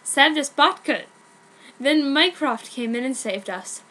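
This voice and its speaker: Female amateur reader, high voice, unhurried, hammy comic storytelling of fan fiction. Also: expressive, sharp tone, rough recording.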